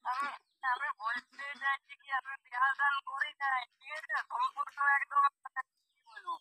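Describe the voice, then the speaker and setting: A man's voice: extremely high pitch, level tone, moderate pace, pushed and bright, no echo. A man, protesting and questioning in a casual phone conversation, heard through thin telephone audio. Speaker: prank call recipient.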